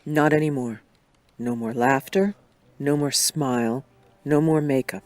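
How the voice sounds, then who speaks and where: A man playing a concerned, serious doctor in a superhero fan dub, reporting in a measured, matter-of-fact way. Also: mid-range voice, steady tone, no room sound, natural voice.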